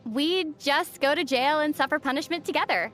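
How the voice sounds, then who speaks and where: A woman playing a young female traveler in a video game, gently teasing and reassuring, with a playful, dry manner. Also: high-pitched, moderate pace, faint music behind. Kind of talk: video game character dialogue.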